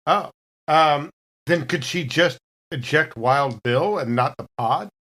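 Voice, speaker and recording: A woman's voice: deep voice, takes it slowly, fairly bright tone. A woman playing a tabletop game, casually and wryly thinking through what her character does.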